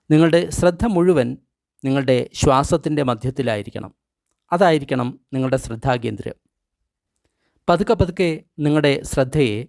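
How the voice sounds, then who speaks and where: Male meditation guide, fairly high pitch, scripted instructions in short paused phrases, very dry sound. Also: creaky, darkish tone, no echo. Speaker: meditation guide reading a translated book.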